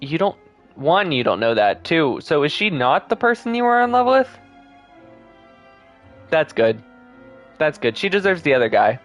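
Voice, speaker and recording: A man's voice: fairly high pitch, big pitch swings, moderate pace, pauses often. A man giving casual, muttered, offhand commentary while streaming a game. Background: faint game music.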